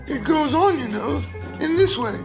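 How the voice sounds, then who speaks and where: A woman's voice: high-pitched, lively intonation, moderate pace, gravelly, over music. A woman playing an eccentric, touchy tea-party host in a radio play, theatrical and argumentative. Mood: haughty. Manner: theatrical, argumentative, singing off in bursts.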